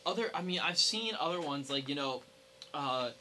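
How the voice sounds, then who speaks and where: A young man co-hosting a casual gaming chat with friends, talking in a halting way with many restarts. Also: a little high, animated, pushed and bright. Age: young adult. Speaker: podcast co-host. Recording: noisy hobby podcast recording.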